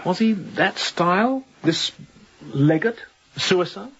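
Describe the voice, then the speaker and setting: An actor playing a composed, courteous young sea captain in a radio drama, speaking in a measured, polite tone with quiet authority. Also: male voice, high-pitched, lively intonation, slightly rough, muffled recording, heavily acted. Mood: probing, smooth. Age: young adult.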